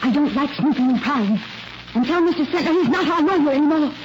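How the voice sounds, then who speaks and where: Icy, domineering elder sister, high-pitched and curt; vintage radio drama, noisy recording. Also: female voice, animated, fast talker, muffled recording. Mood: hostile, commanding. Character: stern, controlling older sister, secretive, cold. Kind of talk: 1940s radio drama acting.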